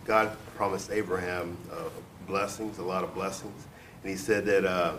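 Man, very low voice, halting delivery, distant noisy recording. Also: level tone. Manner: halting, searching for words. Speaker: discussion participant. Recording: distant mic, clear noise.

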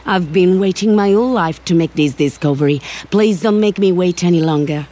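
A woman's voice: on the low side, a little fast, mellow, lightly acted. An actress playing a determined woman scientist and explorer in an audio drama, urgent and insistent. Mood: pleading, excited. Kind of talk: immersive audio drama acting.

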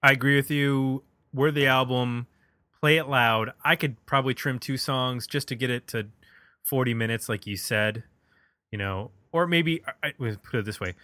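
A man's voice: medium pitch, normal speed, bright, forceful tone, no echo. A man hosting a music podcast, chatting casually as he reviews albums.

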